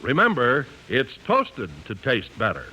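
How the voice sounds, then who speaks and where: A man's voice: mid-range voice, huge pitch swings, a little slow, bright, forceful tone, husky, muffled recording. A man announcing and selling in emphatic, polished 1950s radio style. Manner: emphatic, polished sales delivery. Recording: vintage 1950s radio broadcast.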